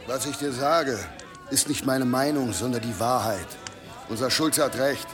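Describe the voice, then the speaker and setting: A man acting a sober, measured war veteran who warns his fellow villagers in a German radio drama. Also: mid-range voice, music in the background, lightly acted. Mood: grave warning. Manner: measured, grave.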